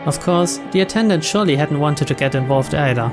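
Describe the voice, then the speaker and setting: A man's voice: on the high side, fairly quick, over music. A man reading scripted story narration aloud from a visual novel as a let's player.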